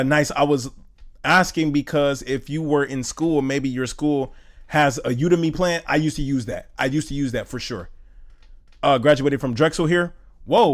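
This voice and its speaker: A young man in his twenties from Indiana, chatting casually on a live stream, loose and slangy, reading chat aloud and thinking out loud. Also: mid-range voice. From Indiana, USA. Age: 20s. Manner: slangy, loose, says "bro" a lot.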